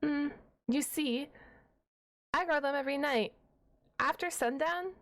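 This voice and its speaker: A woman's voice: sits high, measured pace, fairly bright tone. A woman playing a shy, nerdy half-dragon librarian in an ASMR roleplay, stammering and wordy, awkwardly defensive and a stickler for rules. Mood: sheepish. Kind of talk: ASMR roleplay audio drama.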